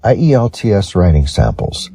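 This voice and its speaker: Husky, mellow American man reading aloud. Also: fairly low pitch, huge pitch swings, normal speed, dry recording, studio quality. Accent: Western American. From Western United States. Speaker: English test-prep reader. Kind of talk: scripted read-aloud of sample essays.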